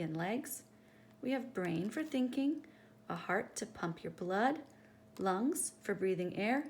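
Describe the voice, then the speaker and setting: A woman reading a picture book aloud to children in an expressive, teacherly way, speaking directly to her young listeners. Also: on the high side, slight background noise.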